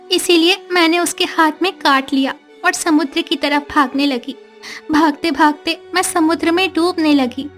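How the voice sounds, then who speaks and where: A woman voicing a gentle, sorrowful young mermaid girl telling her own story in a cartoon. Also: high-pitched. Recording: faint background music.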